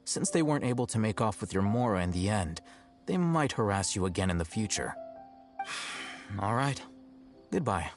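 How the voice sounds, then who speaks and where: An actor playing a calm, precise and coolly condescending young male scholar in a video game. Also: lowish voice, normal speed, long pauses. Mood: dismissive. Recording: game audio with faint background music.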